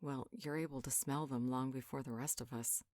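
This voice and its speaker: A woman playing a calm, wise healer in an audio roleplay, talking a friend through things in a measured, reassuring, conversational way. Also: rumbling low, no echo, clean studio sound. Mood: earnest, reassuring. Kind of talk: conversational roleplay monologue, one side of a dialogue.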